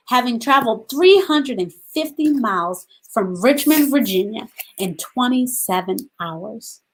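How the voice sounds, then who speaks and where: A woman hosting a children's storytime, reading aloud deliberately in short phrases and putting questions to her young listeners. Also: fairly high pitch, poor audio.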